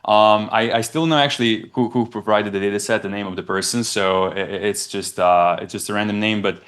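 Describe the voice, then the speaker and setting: A young man from Serbia, giving a conference presentation in a halting way, often restarting and repeating words. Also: on the low side, fairly even intonation, fairly bright tone. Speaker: research presenter.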